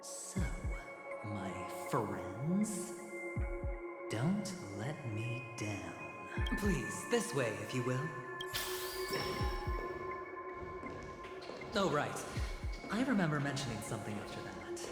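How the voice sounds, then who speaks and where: A man's voice: medium pitch, big pitch swings, slow. A man voicing a suave, scheming gambler-businessman in a video game, teasing and theatrically polite with playful menace. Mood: teasing, ominous. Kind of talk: dramatic video game dialogue. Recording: echoey reverb under loud game music.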